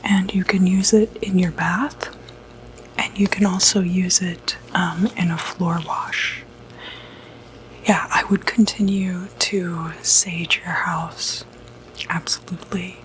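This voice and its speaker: A woman playing a gentle, reassuring doctor who treats demonic possession, in a hushed, soothing ASMR medical roleplay. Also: lowish voice, fairly even intonation, slight background noise, rough recording. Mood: calm, reassuring. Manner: hushed, unhurried, hesitant, soothing.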